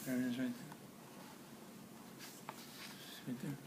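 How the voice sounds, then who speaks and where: A man reading a children's picture book aloud expressively, with pauses between phrases and chatty explanations to listeners. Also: mid-range voice, little pitch movement, background noise. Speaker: storybook reader. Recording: echoey room.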